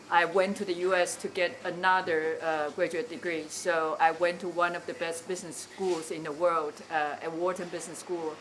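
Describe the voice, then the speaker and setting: Lowish-voiced woman with a Taiwanese accent. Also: a bit bright, slight background noise, low-quality recording. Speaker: interviewee, cafe owner. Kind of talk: informal explanatory interview talk.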